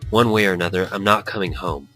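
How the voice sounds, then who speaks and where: Low-voiced man reading a visual novel aloud. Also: fast, fairly bright tone, soft background music. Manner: stumbles over words while reading. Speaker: let's-play host.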